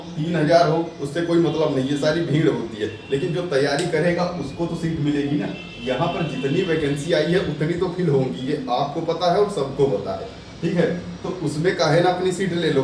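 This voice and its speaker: A man's voice: fairly high pitch, darkish tone. A man giving exam aspirants an earnest update on applications and competition, coaxing them with rhetorical questions. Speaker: exam coaching presenter. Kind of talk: motivational exam update talk. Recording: echoey room from a distant mic with faint noise.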